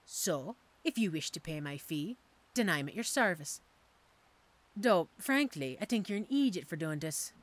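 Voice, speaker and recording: A woman's voice: fairly low pitch, lively intonation, measured pace. A woman playing a cocky, street-hardened female mercenary assassin in an audio roleplay, with a teasing, sardonic, theatrical manner. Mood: amused, bargaining. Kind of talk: audio roleplay drama acting.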